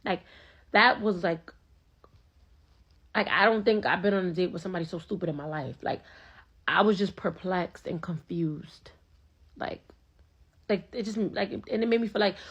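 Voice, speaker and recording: A woman's voice: lowish voice, slightly rough, dull audio. A young woman telling a date story in a casual, slangy way, acting out both sides of the conversation. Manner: animated, slangy, acts out both sides of dialogue.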